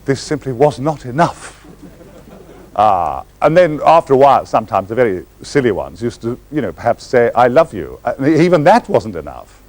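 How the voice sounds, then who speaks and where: A man telling comic stories to a live audience in grand, florid words with sharp comic timing. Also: big pitch swings, moderate pace. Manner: grand, florid wording with comic timing and sudden swings in pitch. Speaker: actor telling stories on stage. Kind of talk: theatrical anecdote to a live audience. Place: stage before an audience.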